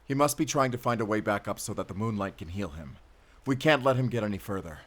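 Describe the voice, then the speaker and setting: A man's voice: fairly low pitch, expressive, fast, fairly bright tone. A man playing a grim, determined werewolf hunter, speaking intently and commandingly in an audio drama. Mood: grimly determined. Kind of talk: cinematic audio roleplay acting.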